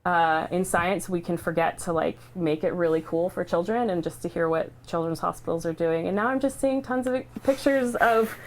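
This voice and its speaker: A woman speaking casually and earnestly, in halting, unscripted phrases, as a livestream host sharing a personal story. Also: lowish voice. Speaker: charity livestream host sharing her cancer treatment story. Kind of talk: casual, heartfelt unscripted talk.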